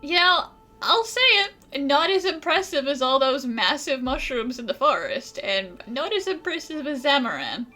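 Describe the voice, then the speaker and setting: A playful, brash young woman adventurer from a video game. Her bright voice is lively in pitch and casually teasing, over faint music. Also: a little slow, full character voice.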